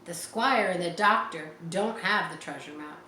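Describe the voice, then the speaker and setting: A woman's voice: on the low side, normal speed, pushed and bright. Storybook pirate ringleader, male, acted by a woman: conspiratorial, chummy on top, ruthless underneath. Roomy sound. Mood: scheming, menacing. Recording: echoey room with faint background noise.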